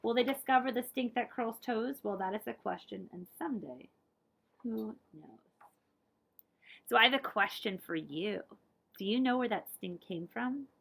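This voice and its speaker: A woman reading a picture book aloud to children as a librarian, with expressive, dramatic emphasis. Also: fairly high pitch, moderate pace, vocal fry. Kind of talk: animated storybook read-aloud.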